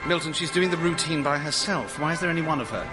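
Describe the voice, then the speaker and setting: A man's voice: fairly high pitch, fast talker, over loud music, natural voice. A male actor playing a flustered, exasperated colleague, sometimes stammering, in a radio sitcom. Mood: polite, awkward.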